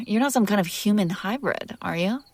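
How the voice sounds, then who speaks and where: A woman's voice: medium pitch, lively intonation, normal speed. A woman playing a possessive, sardonic and curious female demon in an intimate, wry audio roleplay spoken to the listener. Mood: baffled, irritated. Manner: teasing, wry, conversational, breaking into hushed whispers.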